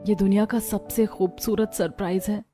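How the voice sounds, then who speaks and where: A woman voicing a gentle, earnest young daughter-in-law in a cartoon, speaking politely. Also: mid-range voice, little pitch movement, normal speed, faint music behind, close to an everyday voice. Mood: moved.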